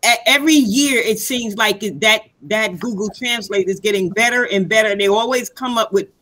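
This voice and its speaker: A woman's voice: medium pitch, moderate pace, fairly bright tone. A woman taking part in a webinar, speaking casually about personal experience. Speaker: webinar participant sharing experience. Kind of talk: casual discussion.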